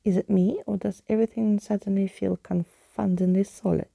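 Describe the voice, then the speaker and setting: Lady doing a courtly skeleton guy from a kids book, whispery, really mellow and crackly, old-timey theatrical talk. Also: mid-range voice, fairly even intonation, normal speed, vocal fry, no room sound. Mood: jaunty greeting. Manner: quaint, theatrical old-fashioned exclamations. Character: jaunty, courtly, easily startled living skeleton with key fingers. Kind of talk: whispered storybook character reading.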